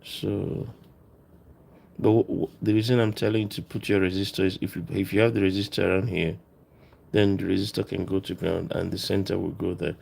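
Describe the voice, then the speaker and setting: A man presenting a casual hands-on electronics tutorial, explaining as he works in a halting, informal way, thinking aloud. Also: low voice, level tone, vocal fry, darkish tone.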